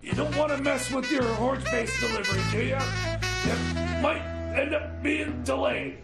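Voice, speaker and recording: A man's voice: very high voice, very animated, moderate pace, over loud music, exaggerated voice. A man playing a jittery, scheming inmate in a comedy radio play, stammering and rambling in fragments. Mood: nervous, placating. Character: jittery scheming male inmate cellmate making shady deals. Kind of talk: improvised comedy radio theater.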